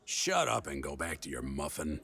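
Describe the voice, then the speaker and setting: A man playing a gruff, blunt small-town sheriff in a western comedy audio drama. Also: a bass voice, huge pitch swings, normal speed, bright, forceful tone, slight background noise, heavily acted. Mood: curt.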